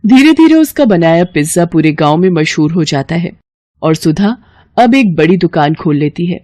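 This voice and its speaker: A woman's voice: fairly low pitch, animated, normal speed, darkish tone, dry recording. A woman storyteller reading scripted narration for a moral bedtime story.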